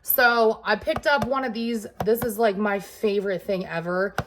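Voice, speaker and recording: A woman's voice: medium pitch, fairly even intonation, moderate pace, slight background noise. A woman with a Southern US accent, sniffly from allergies, chatting casually and rambling as she shows off her groceries. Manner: chatty and rambling, with loose fillers and asides. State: hot and sweaty. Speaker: vlogger showing a grocery haul. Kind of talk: casual chat.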